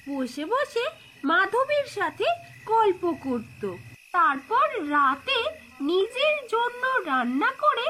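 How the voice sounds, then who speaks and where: Very high-pitched woman narrating children's stories. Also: very animated, pushed and bright, a little hiss or hum, poor audio. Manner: deliberate, phrase-by-phrase storytelling. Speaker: storyteller narrator. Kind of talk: scripted narration of children's moral tales. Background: music.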